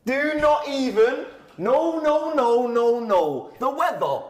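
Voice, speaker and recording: A man's voice: very high voice, animated, takes it slowly. A man in casual, slangy, teasing banter, repeating himself. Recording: roomy echo, off-mic clip.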